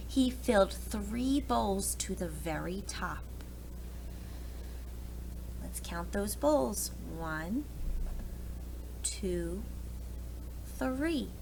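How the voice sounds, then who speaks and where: A woman reading a storybook aloud to young children in an expressive way, pausing to explain things to them. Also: medium pitch, gravelly, slight background noise, low-quality recording. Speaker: preschool read-aloud teacher.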